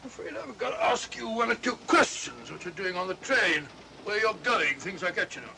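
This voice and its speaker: An older English gentleman doctor in a 1940s film, bumbling and blustering. His voice is high for a man, gravelly and halting, on an old soundtrack with faint noise. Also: expressive. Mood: flustered. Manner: blustering, hesitant.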